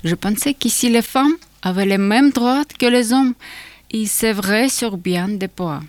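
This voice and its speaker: A woman's voice: on the high side, a little slow, no echo. Woman from Ukraine reading carefully. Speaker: testimony speaker. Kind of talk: reading a prepared testimony.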